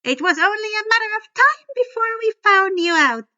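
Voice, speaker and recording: Lady doing a creepy old monster teacher for an audiobook, high and sharp, fake sweet then snarly, kinda muffled mic. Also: expressive, moderate pace, heavily acted. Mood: menacing, accusing. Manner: cooing false sweetness turning to snarling sneer. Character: menacing old woman, secretly winged fanged monster teacher.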